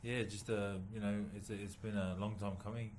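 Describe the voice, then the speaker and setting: A man from New Zealand, a boxer, answering interview questions haltingly with many pauses and fillers. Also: lowish voice, flat delivery, slight background noise. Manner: halting, many ums and uhs, soft-spoken. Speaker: boxer and former rugby league player, guest. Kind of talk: casual interview.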